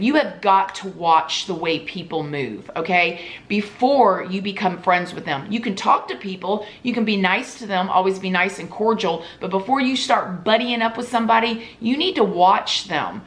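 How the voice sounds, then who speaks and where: A young woman vlogging to camera, hoarse from losing her voice and chatty, pleading earnestly with her viewers. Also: mid-range voice, fairly bright tone. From Florida. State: losing her voice, sore throat. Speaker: YouTube vlogger. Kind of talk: casual chat to camera.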